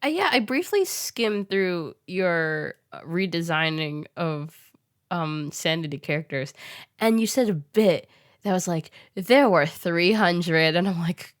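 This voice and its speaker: A woman's voice: on the low side, animated, unhurried. A woman interviewing a guest in a casual, rambling and giggly way, reacting openly to what she hears. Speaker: host, interviewer.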